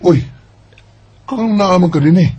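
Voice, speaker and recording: A man's voice: sits high, moderate pace, mellow, highs cut off, a little hiss or hum, natural voice. A man playing an earnest, lovestruck young son in a Bisaya radio drama, with a Filipino accent. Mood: puzzled. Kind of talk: amateur radio drama acting.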